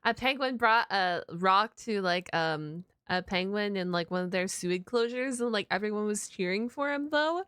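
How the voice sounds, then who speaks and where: A woman chatting casually on a live stream as she reacts to a video, expressive and exclamatory, with plenty of slang. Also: medium pitch, normal speed, few pauses, smooth, no room sound.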